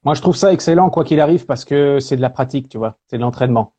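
Male guest, French, easygoing, warm mellow tone, hesitant fillers. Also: medium pitch, quick pace. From France. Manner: relaxed, lots of hesitation fillers. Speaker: guest, speaker and singer. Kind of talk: casual interview talk.

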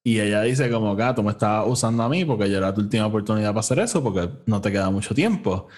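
A man's voice: on the low side, animated, rapid-fire. A man with a Puerto Rican accent co-hosting a casual review chat, informally mixing English words into his Spanish. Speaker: podcast co-host.

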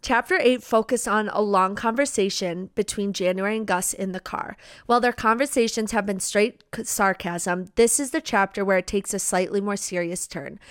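A woman's voice: mid-range voice, moderate pace, few pauses, clean tone, dry recording, clean studio sound. A young woman co-hosting a book podcast, chatting casually in an enthusiastic, emphatic, gushing way.

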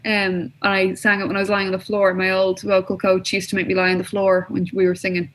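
A woman's voice: medium pitch, monotone, fairly quick. A young woman co-hosting a podcast, chatting casually and swearing freely as she tells stories. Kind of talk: casual chat.

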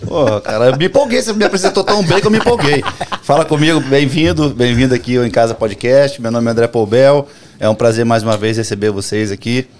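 A Brazilian man co-hosting a casual podcast, excitable and joking, cursing freely. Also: mid-range voice, quick pace, slight background noise. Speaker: podcast co-host.

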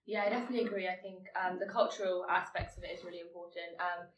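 A woman's voice: lowish voice, fairly even intonation, fast talker, highs cut off, a little hiss or hum. A teenage girl from India whose first language is Tamil, speaking thoughtfully and hesitantly as a guest. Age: teens. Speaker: podcast guest. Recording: echoey, far from mic.

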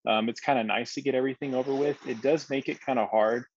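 A man's voice: medium pitch, steady tone, quick pace, slightly rough. A man from Texas, a new doctor, talking in an easygoing, casual way. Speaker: medical graduate panelist. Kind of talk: casual panel talk. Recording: dull lo-fi audio, dry.

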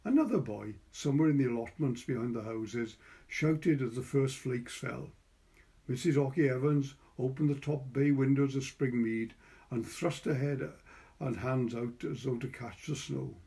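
A man's voice: medium pitch, moderate pace, gravelly, warm tone. A man with a Welsh accent reading a literary short story as narrator. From Wales.

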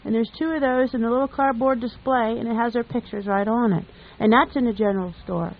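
A woman's voice: a little high, steady tone, brisk. A woman reminiscing casually in a chatty, homely storytelling way. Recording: tinny narrow phone-like radio line.

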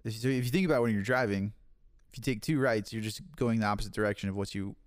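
A relaxed man in his thirties talks in an easygoing, chatty way, laughing often. His voice sits a little low, and the recording is close and dry with no room sound. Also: fast, dry recording. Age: 30s. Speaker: podcast guest, online campus pastor. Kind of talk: casual podcast chat.

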